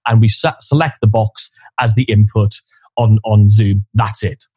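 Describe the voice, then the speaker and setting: A man co-hosting a podcast with a loud voice, chatting casually and explaining gear step by step, recorded on a studio mic in an office corner. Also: fairly low pitch, fairly even intonation, unhurried, breathy edge, no room sound. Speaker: podcast co-host. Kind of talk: casual chat. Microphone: studio condenser mic on boom arm.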